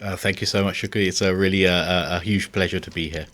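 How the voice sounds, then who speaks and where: Deep-voiced man, fast and modest, frequent restarts, dry studio sound. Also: fairly even intonation, fairly bright tone, no room sound. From England. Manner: quick, modest, many restarts. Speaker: guest, film director. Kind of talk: radio interview. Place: radio studio.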